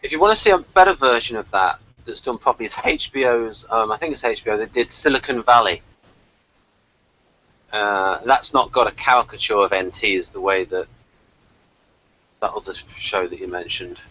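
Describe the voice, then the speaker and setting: A man's voice: lowish voice, normal speed, sharp tone, slight background noise. A man hosting a review show, chatting casually with his co-reviewer. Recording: muffled lo-fi call audio.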